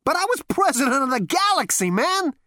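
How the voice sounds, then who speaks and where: A brash young man, as a woman reads him out, rants in emphatic, slangy bursts. His voice swings lively up and down. The sound is dry and close. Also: high voice, brisk, no echo, voice pushed up, heavily acted. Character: brash, cocky young man, ex-president, exasperated. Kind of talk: audiobook character voice.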